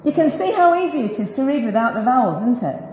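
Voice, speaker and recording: A woman's voice: sits high, very animated, fast, crowd noise. A woman giving an informal Bible teaching talk to a group, chatty and self-deprecating, with joking asides. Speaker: speaker teaching a group about biblical Hebrew. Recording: roomy, dull lo-fi recording of a talk.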